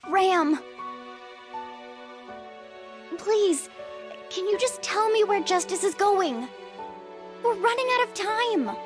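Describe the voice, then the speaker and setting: A woman's voice: extremely high pitch, expressive, takes it slowly, heavily acted. A woman voicing a kindhearted young girl in an anime game, sincere and a little hesitant. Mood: urgent. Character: earnest, kindhearted young artificially created girl. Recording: music behind the voice.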